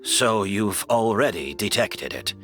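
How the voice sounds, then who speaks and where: A grave, resolute man in a dramatic video game scene. His low voice has a forceful edge and swings expressively, each phrase solemn and measured. Faint music sits behind him. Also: animated. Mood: solemn, resigned. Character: grave, resolute man confessing a long-kept secret.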